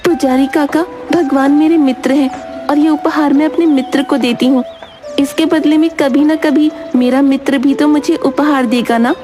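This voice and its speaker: A woman's voice: high-pitched, steady tone, moderate pace, dark tone, voice pushed up, close to an everyday voice. A woman voicing an innocent, kind-hearted poor potter girl in a children's cartoon story, speaking sweetly and earnestly. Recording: background music under the voice.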